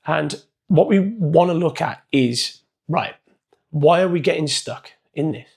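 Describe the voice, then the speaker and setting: A man with a hoarse, croaky voice giving a casual, chatty motivational coaching talk. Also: on the high side, animated, a little slow, a little mellow. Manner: casual, chatty, loosely structured. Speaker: performance coach.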